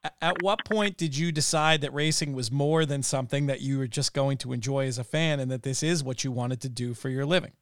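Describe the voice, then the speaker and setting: A man's voice: medium pitch, a little fast, hardly any pauses, no echo. A man hosting an interview, asking questions in a conversational way. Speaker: interviewer, host.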